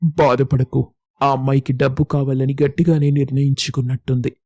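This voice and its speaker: A man playing a supportive, practical young husband in an audio story, calm and consoling. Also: mid-range voice, a little fast, no room sound, highs cut off, lightly acted. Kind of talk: acted dialogue in an audio story.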